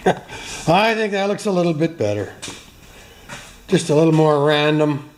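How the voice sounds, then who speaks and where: A man's voice: fairly high pitch, expressive, unhurried, slight background noise. A man hosting a workshop video, chatting casually and joking with viewers while he works in a garage. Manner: chatty, self-mocking, talks to viewers while working.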